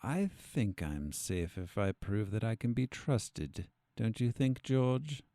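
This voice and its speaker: A man playing a weary, calculating captive doctor in an audio drama, speaking in a measured, formal way. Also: fairly low pitch, animated, dark tone, dry recording, studio quality. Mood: guarded.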